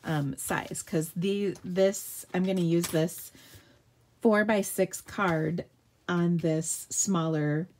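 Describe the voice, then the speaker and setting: A woman's voice: fairly low pitch, steady tone, slow, darkish tone. A woman hosting a live card-making tutorial at her craft table, chatting in an upbeat way and answering viewer questions as she works.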